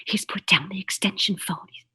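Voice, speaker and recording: A woman's voice: mid-range voice, moderate pace, sharp tone, husky, whispered. A woman playing an anxious, demanding invalid wife in a radio thriller, agitated and stammering as fear takes hold. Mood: terrified whispering.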